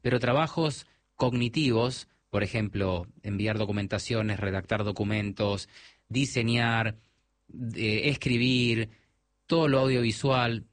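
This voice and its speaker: A man's voice: lowish voice, a little slow, no echo. A man with a mild Argentine accent, a technology guest on a radio interview, explaining at length with frequent restarts.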